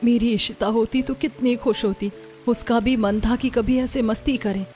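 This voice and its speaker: A woman's voice: a little high, fairly even intonation, normal speed, lo-fi sound, soft background music, lightly acted. A woman voicing a sweet, grateful, emotional young daughter-in-law in a cartoon story. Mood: delighted.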